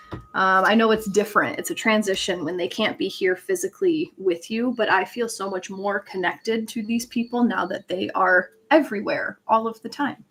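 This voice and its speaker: Higher-voiced woman hosting a live tarot reading. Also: fairly bright tone. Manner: conversational, reads chat messages aloud, earnest spiritual encouragement. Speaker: live tarot reader and host. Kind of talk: casual livestream chat with card reading.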